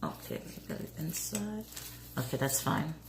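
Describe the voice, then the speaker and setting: Hoarse low-voiced woman, slow and gravelly. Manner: relaxed, talks through each step as she works. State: hoarse, voice going. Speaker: craft vlogger. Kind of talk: casual DIY tutorial narration. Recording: roomy echo with faint noise.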